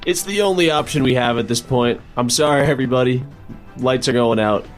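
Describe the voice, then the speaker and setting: A man's voice: mid-range voice, a little fast, faint music behind. A man talking casually as a player in a tabletop game.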